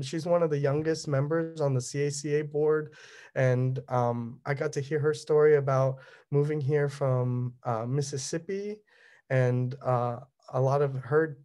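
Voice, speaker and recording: A young man, an artist, presenting his work over slides, speaking in a halting way full of ums and restarts; Cantonese was his first language. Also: on the high side, a little mellow. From Southern California. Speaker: resident artist.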